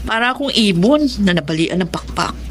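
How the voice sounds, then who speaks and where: A woman's voice: on the low side, lively intonation, a little fast, slight background noise, natural voice. A young woman acting a remorseful but hopeful older sister in a radio drama, with a Filipino accent and a heartfelt, confessional delivery. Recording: music bed under studio dialogue. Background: background music.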